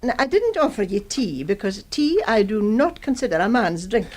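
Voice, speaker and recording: An actress playing a wry, sharp-tongued retired schoolteacher of seventy-seven in a radio drama, teasing and deliberate. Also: female voice, medium pitch, big pitch swings. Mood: coy, brisk. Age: 70s.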